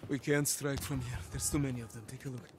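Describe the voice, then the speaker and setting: A man's voice: medium pitch, steady tone, fast, background noise, lightly acted. A man playing a loyal, urgent Soviet resistance fighter in a video game cutscene, with a Russian accent. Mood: watchful, tense. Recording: loud music over dialogue.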